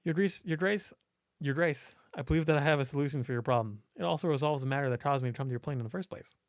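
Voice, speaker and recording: A man's voice: a little high, fast talker, dry recording, studio quality, close to an everyday voice. A man voicing an earnest, kind, brave young queen in a visual novel. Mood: urgent, pleading. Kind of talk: visual novel character reading.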